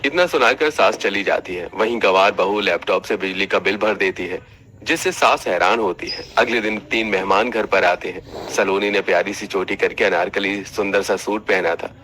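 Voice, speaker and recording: A man's voice: fairly low pitch, normal speed, pushed and bright. A man reading scripted narration for a cartoon family story.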